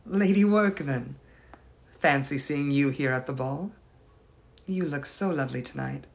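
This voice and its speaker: A man's voice: medium pitch, a little slow, creaky. A man playing a genteel lovelorn ghost lady. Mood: gracious. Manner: formal, old-fashioned and gracious. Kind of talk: improvised live roleplay answering viewers.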